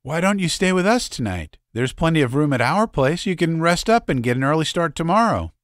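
Man doing a earnest young girl, high and quick. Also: sing-song, dry recording, studio quality. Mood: inviting.

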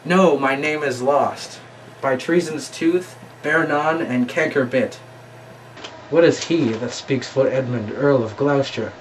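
A man's voice: medium pitch, unhurried, natural voice. Solemn young knight acted by a man, off mic. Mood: challenging. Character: earnest, noble wronged young knight challenging his brother. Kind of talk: amateur Shakespearean stage acting. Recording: distant mic, noisy amateur recording.

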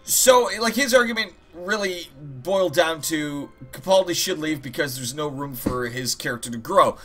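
A man's voice: fairly high pitch, lively intonation, normal speed, a bit bright. A man giving casual gameplay commentary as host, rambling and joking with plenty of swearing and mock-dramatic asides. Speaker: gaming video host. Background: game music.

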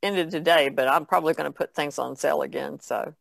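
A woman's voice: sits low, fairly quick, gravelly, dry recording. A woman chatting casually as a panelist on an art podcast. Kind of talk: casual chat.

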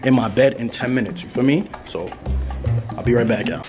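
A young man from New York hosting a casual vlog, teasing and hyping in slang-heavy banter as he talks into a low-held camera in a small apartment. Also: brisk, music in the background. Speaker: vlogger host. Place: small apartment bedroom indoors. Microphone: handheld camera held low.

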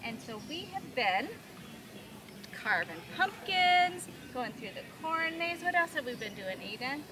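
A woman's voice: high voice, big pitch swings, unhurried, very noisy. A woman in her thirties chatting cheerfully with her young son while vlogging outdoors on a farm. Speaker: vlogger and mother. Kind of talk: casual family vlog narration. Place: outdoor farm and pumpkin patch. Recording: outdoor vlog with music underneath.

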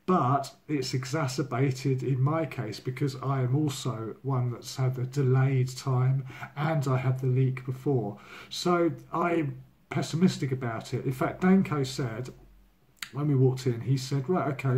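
A man in his sixties with a hoarse voice from a cold, talking candidly in a casual video diary from his living room, full of ums and uhs. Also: medium pitch, darkish tone. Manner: candid, rambling, many fillers. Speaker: vlogger. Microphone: laptop or phone camera at desk.

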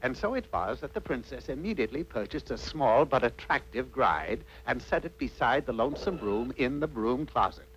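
A man of medium pitch narrates a cartoon fairy tale. He tells it wryly, with mock drama. The old soundtrack has a faint noise under it. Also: big pitch swings, normal speed, few pauses, muffled recording. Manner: wry, mock-dramatic storytelling.

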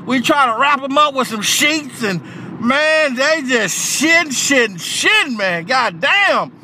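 A man voicing an irate, foul-mouthed old nursing home worker, hollering in a high, strained voice on a prank call. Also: expressive, unhurried, pushed and bright, a little hiss or hum, heavily acted. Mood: exasperated. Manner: agitated, profane, hollering street talk.

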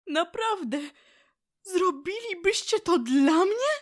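A woman playing a proud, touchy old weeping willow tree with a softer side in a radio play. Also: very high-pitched, lively intonation, slow pace, full character voice. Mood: touched.

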